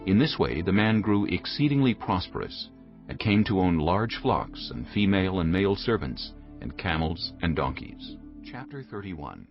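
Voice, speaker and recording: Deep-voiced, expressive male Bible narrator. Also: moderate pace, lo-fi sound. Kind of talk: scripted dramatized Bible narration. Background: background music.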